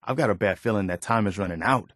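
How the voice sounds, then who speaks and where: An actor playing an earnest, loyal and idealistic militia officer in a video game, measured and plain-spoken. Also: male voice, on the low side, a bit bright, no room sound, studio quality. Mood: wary, determined. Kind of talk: video game character dialogue.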